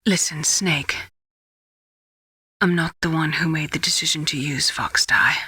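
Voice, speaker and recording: An anguished game scientist with a low, breathy voice. She speaks slowly and hesitantly, earnest and close to the mic. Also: steady tone, sharp tone, no room sound, heavily acted. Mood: earnest, troubled. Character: anguished, secretive female scientist confessing. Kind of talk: dramatic video game voice acting.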